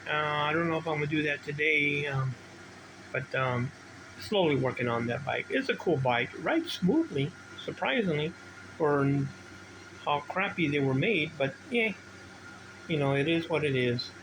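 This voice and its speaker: A middle-aged or older man giving a casual, unscripted video walkthrough as a hobby collector, rambling and halting as he restarts his sentences. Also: fairly high pitch. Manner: rambling, halting, self-interrupting. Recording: clear steady background noise, ambient hum.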